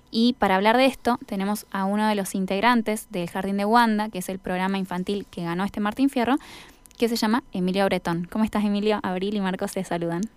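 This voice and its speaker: A young woman with an Argentine accent hosts a radio interview in a conversational way. Her voice is exceptionally clean and resonant, at a middle pitch. The sound is dry, with no room echo. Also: clear, resonant tone. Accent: Argentine Spanish. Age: young adult. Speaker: radio host.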